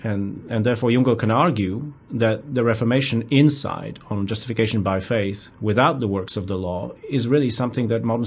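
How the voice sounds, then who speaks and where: A man from Poland with a Polish accent, speaking as a guest professor in an academic interview, thoughtful and measured, sometimes restarting his words. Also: fairly low pitch, husky.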